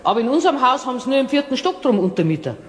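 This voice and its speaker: High-voiced Bavarian tenant in echoing stairwell. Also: male voice, sing-song, very fast. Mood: emphatic, helpful. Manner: emphatic, folksy. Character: brisk, chatty long-time tenant of an apartment house. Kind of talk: German radio play.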